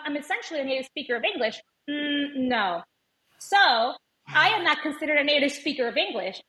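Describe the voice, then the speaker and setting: A woman's voice: high-pitched, sharp tone, poor audio. A woman from Venezuela who was raised in the United States, a native Spanish speaker, talking conversationally as a podcast guest and telling personal stories with quoted dialogue. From born in Venezuela, raised in the United States. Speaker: podcast guest, language educator and advocate. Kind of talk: conversational interview storytelling.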